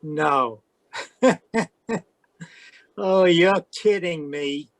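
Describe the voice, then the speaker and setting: A man's voice: a little high, very slow, a bit bright, no room sound. A man playing a pushy, slick, scheming villain in an old-time radio drama. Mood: incredulous. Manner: slick, menacing, calls woman baby. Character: scheming, pushy male villain in frontier melodrama.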